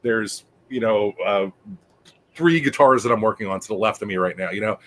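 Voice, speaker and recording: A man's voice: fairly low pitch, normal speed. A man co-hosting a casual chat show, stammering as he talks and cracking crude jokes. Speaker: podcast co-host.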